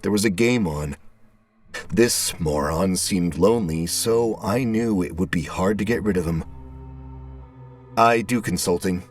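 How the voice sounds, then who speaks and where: Low-voiced brooding male storyteller. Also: unhurried, a little mellow. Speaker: narrator. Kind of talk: first-person scripted story narration. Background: background music.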